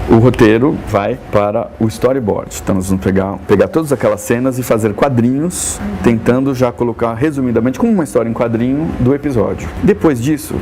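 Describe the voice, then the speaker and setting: Brazilian man, dark relaxed voice, informal and didactic interviewee, faint noise behind him. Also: lowish voice. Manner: didactic, explaining step by step. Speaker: animation producer, interviewee. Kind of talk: informal explanation of a production process.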